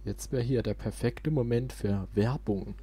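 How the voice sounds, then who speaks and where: A man's voice: mid-range voice, a little slow, warm tone, breathy edge. A young man hosting a let's play with casual gameplay commentary. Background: video game sound.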